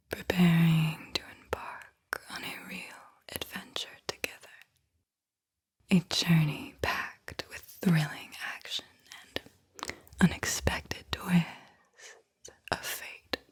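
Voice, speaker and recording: A woman whispering a guided visualization in an ASMR style, with a breathy, airy voice and a soothing, deliberate delivery. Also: lowish voice. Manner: slow, soothing, deliberate delivery with repeated phrases. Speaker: host, guided visualization narrator.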